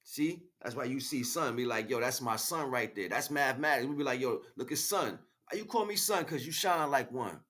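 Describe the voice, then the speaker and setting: An American man giving an emphatic, freestyle spiritual monologue in street slang, repeating phrases as he goes, with a husky voice. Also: mid-range voice, lively intonation, a little fast, few pauses, pushed and bright. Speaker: spiritual livestream speaker.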